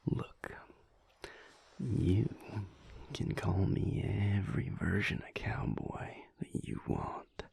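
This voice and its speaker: A man playing a surly but affectionate vampire cowboy lover in an intimate audio roleplay, with a Southern US accent and a slow, wry, breathy, gravelly murmur. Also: low-pitched, a little mellow, no echo, full character voice. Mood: embarrassed grumbling. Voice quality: breathy, gravelly, half-whispered.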